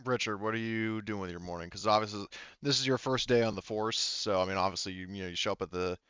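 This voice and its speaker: A male game master with a fairly low, clear voice. He guides a tabletop game casually, halting as he works out details, and sounds dry and close. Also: a bit bright, dry recording, lo-fi sound. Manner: halting, informal.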